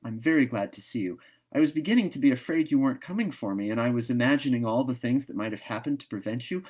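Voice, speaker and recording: A man's voice: mid-range voice, expressive, quick pace, darkish tone, lightly acted. A man voicing a talkative, imaginative eleven-year-old orphan girl in a storybook, earnest and eager. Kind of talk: storybook read-aloud.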